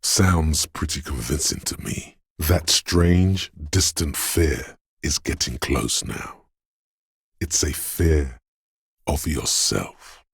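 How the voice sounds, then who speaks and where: A man voicing the menacing inner voice of a detective's adrenaline and fear in a video game, narrating in the second person with brooding, profane intensity and a husky, gravelly tone. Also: extremely low pitch, no room sound, clean studio sound, heavily acted. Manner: brooding, deliberate, ominous, profane.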